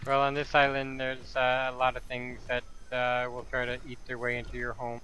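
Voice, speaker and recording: A man's voice: medium pitch, completely flat delivery, normal speed, sharp tone, a little hiss or hum, rough recording. A man roleplaying in a game, giving matter-of-fact, folksy advice to another character. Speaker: fellow roleplay player.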